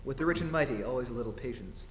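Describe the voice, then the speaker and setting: A man acting an awkward, modest writer of thirty in a radio play, halting and dry. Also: medium pitch, animated, close to an everyday voice. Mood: earnest, dry. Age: 30s. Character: awkward, modest thirty-year-old male writer, wryly reticent. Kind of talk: radio play re-enactment on stage. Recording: echoey theatre hall, faint noise.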